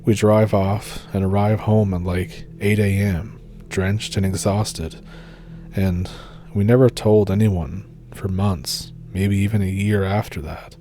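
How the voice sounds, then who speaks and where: Low, mellow Maritime Canadian man. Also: steady tone, slow pace. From New Brunswick, Canada. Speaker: storytelling channel host. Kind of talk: reading viewer encounter stories.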